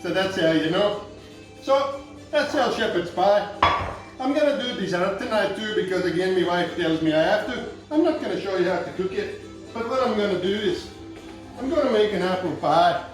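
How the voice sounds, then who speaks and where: A boastful Irish home cook, as a man puts him on, rambles through a recipe in a thick put-on Irish accent, dropping deadpan absurd asides. His voice sits high for a man and lands somewhat distant from the mic. The room is echoey, with faint music underneath. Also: moderate pace. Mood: jokey. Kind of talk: comic cooking demonstration.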